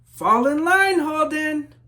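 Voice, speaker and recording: Man putting on a loud, high-pitched strict school scold. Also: lively intonation, takes it slowly, full character voice. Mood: stern, scolding. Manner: loud, barked, drawn-up commands. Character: strict, commanding school authority scolding a stray pupil.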